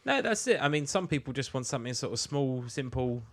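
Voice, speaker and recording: A man's voice: mid-range voice, sing-song, fairly quick, fairly bright tone. An English man co-hosting a podcast in casual comic chat, talking in a rambling, colloquial way with plenty of swearing. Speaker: podcast co-host.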